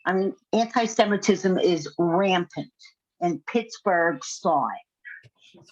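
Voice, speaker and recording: An older woman joins a video call as an audience member, talking slowly with feeling and circling back to the same phrases. Her voice sits on the low side and comes through dull and muffled. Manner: emotional, repeats herself.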